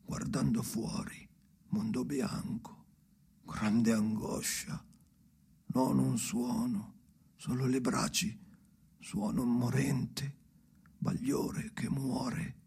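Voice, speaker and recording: Slow, gravelly, breathy haunted old man. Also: medium pitch, mellow, full character voice. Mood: anguished, fading. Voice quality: hoarse, breathy, gravelly, often near a whisper. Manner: halting, fragmented, incantatory repetition. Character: brooding old man, halting, haunted storyteller. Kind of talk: slow dramatic radio play monologue.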